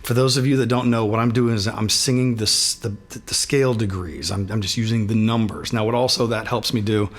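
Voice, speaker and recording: A middle-aged man with a raspy voice and sinus trouble, teaching a singing warm-up in a relaxed, self-deprecating way. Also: on the low side, brisk, hardly any pauses. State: sinus trouble, throat not feeling great. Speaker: guitarist giving a singing lesson. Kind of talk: casual instructional talk.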